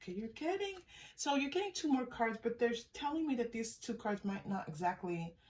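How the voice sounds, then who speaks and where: A woman giving a pick-a-card tarot reading in a soft, unhurried, musing way, often trailing off and restarting, and thanking unseen guides. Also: on the high side, animated, far from the mic. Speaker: tarot reader.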